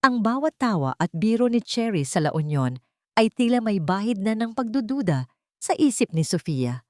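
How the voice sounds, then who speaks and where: A woman's voice: medium pitch, very animated, normal speed, no room sound. A woman reading a scripted dramatic story as the narrator of an audio drama.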